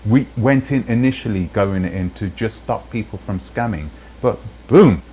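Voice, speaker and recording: Rambling low-voiced man with restarts. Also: normal speed, warm tone, a little hiss or hum. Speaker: channel host in played clips. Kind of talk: casual live-stream talk.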